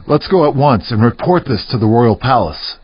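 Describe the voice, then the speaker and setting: Man acting husky, desperate starving outcast. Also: medium pitch, animated, moderate pace. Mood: conscience-stricken, urgent. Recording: dull, muffled audio.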